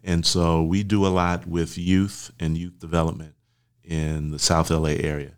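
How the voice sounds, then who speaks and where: Deep-voiced older man, easygoing. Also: flat delivery, normal speed, clear, resonant tone. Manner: laid-back, affirming, speaks in soft trailing phrases. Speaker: podcast guest. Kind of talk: casual chat.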